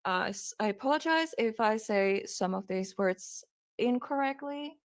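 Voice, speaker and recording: A young woman with a Finnish accent, reading a true crime case aloud on a live stream, hesitant with many pauses and fillers. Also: a little high, expressive, no room sound, clean studio sound. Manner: hesitant, many ums, reads quotes aloud.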